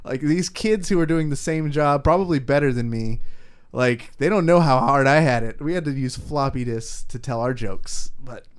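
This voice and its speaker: A man's voice: medium pitch, moderate pace, smooth. A man on a panel chat, talking casually and laughing as he tells stories. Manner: chatty, laughs while telling stories.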